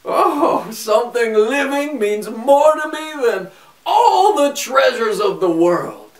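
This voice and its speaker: A man voicing a funny, sly little man in a fairy tale, with a high shrill tone. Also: very animated, slow, heavily acted. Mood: demanding. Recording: echoey room, far from mic.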